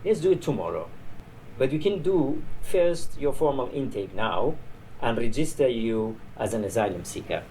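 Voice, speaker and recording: A man's voice: mid-range voice, sing-song, moderate pace, mellow, lightly acted. A man reading the part of a tired old border guard in a story, chatty, dismissive and grimly amused. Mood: weary, dismissive. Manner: weary, chatty, discouraging. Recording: noisy live reading in a bar.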